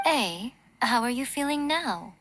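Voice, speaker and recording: A woman's voice: on the high side, expressive, a little slow, background noise. A woman voicing a playful, elegant shrine maiden in a video game, self-assured, with poised and teasing theatrical phrasing. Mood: caring, poised. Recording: studio voice under prominent music.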